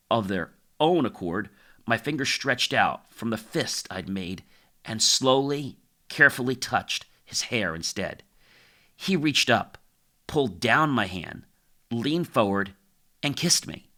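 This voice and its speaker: A man narrates a novel in the first person with a bright, forward voice. His delivery is highly animated and expressive, with wide movement in pitch and measured, deliberate phrasing suited to dramatic audiobook fiction.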